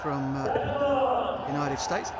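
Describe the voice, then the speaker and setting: A man's voice: sits high, sing-song, drawn-out words. A man commentating live on a cricket match, calling the play in exclamations. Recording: loud music and crowd behind. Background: music and crowd noise.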